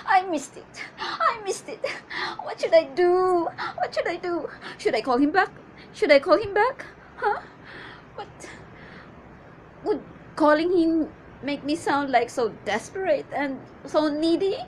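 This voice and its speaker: A woman performing a comedic monologue as a needy, chatty woman waiting on a suitor, with theatrical exasperation and drawn-out questions. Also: very high voice, animated, a little hiss or hum, full character voice. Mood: distraught, panicky.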